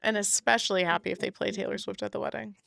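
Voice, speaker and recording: A woman co-hosting a casual podcast over a video call, giggly and upbeat, her voice a little raspy after a weekend wedding. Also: medium pitch, little pitch movement, fast, fairly bright tone, dry recording, clean studio sound. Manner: giggly, upbeat, frequent laughs and fillers. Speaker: podcast co-host. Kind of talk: casual chat. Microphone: video call setup.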